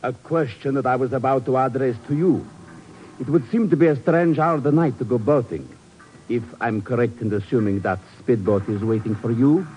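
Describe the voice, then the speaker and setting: Radio-drama adversary , male actor: courtly, measured, quietly menacing, mid-range voice on a hissy old recording. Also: mid-range voice, lightly acted. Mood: smooth, insinuating. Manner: formal, measured, insinuating. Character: suave, formally polite, sinister male adversary. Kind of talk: 1950s radio drama acting. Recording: noisy, dull old 1950s radio recording.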